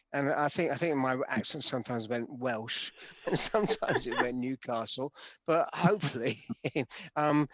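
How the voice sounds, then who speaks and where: A man's voice: mid-range voice, dry recording. Male actor guest, easygoing, laughs a lot, dry mic. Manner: relaxed, laughs often. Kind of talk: casual podcast chat.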